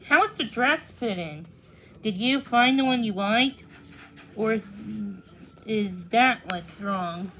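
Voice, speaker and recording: A caring young schoolgirl from a children's storybook, voiced by a woman reader, concerned for her friend. Her voice sits fairly high, and her manner is gentle and reassuring. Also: expressive, takes it slowly, a little hiss or hum, low-quality recording.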